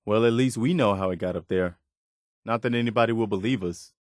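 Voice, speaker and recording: An actor playing an earnest, weary, principled militia soldier as a video game companion, speaking in a sincere, plain-spoken, conversational way. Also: male voice, fairly low pitch, brisk, no echo, clean studio sound, close to an everyday voice. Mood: curious, wary.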